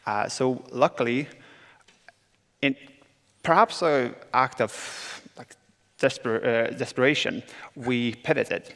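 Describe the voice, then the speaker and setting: A man's voice: medium pitch, slow pace, creaky, long pauses, a bit bright. A young man from southern Sweden with a Swedish accent, speaking as a panel guest with many hesitations. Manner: hesitant, many filler sounds. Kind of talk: panel talk on stage. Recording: reverberant conference hall.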